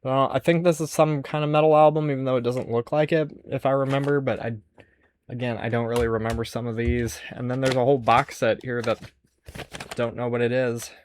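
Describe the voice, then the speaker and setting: A man's voice: mid-range voice, steady tone, fairly quick, slight background noise. A man hosting a casual, unscripted show-and-tell of his collection, rambling and musing aloud as he goes. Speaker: host showing a music cassette collection.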